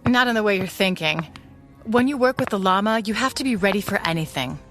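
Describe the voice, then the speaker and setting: A woman acting as a steady, caring mother in a pulp radio adventure. She speaks at a middle pitch, practical and reassuring, over faint background music. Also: a little fast, natural voice. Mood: calm, reassuring. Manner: calm, reassuring, matter-of-fact. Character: capable, caring mother and resistance ally.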